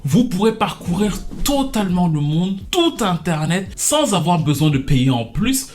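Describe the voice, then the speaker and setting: A man hosting an online video, giving casual scripted pop-culture commentary in a playful, colloquial way with English slang mixed in. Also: on the high side.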